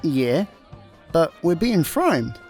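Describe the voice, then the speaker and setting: An actor playing a dim, laid-back intergalactic slacker on the run in a comedic sci-fi radio play, deadpan, with a Scottish accent. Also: male voice, fairly high pitch, very slow, soft background music, full character voice. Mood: deadpan banter.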